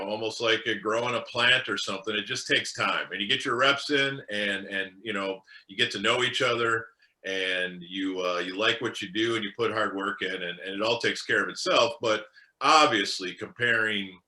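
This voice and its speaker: A man's voice: lowish voice, sharp tone. A male radio co-host talking casually and thoughtfully, often restarting his sentences. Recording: echoey room.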